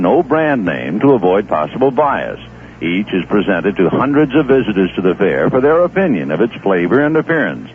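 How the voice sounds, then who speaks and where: A man reading a 1970s radio commercial as a conversational sales pitch. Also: narrow, tinny audio. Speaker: commercial announcer. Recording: thin, slightly noisy old radio recording.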